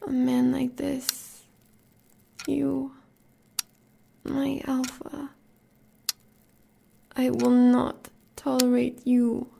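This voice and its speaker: A woman's voice: high voice, completely flat delivery, extremely slow, vocal fry, pauses often, mellow, a little hiss or hum, full character voice. An American woman playing a fierce, devoted huntress in an audio roleplay, with intense, formal, dramatic speech. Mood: fervent, devoted. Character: devoted, fierce huntress lover of a werewolf villain.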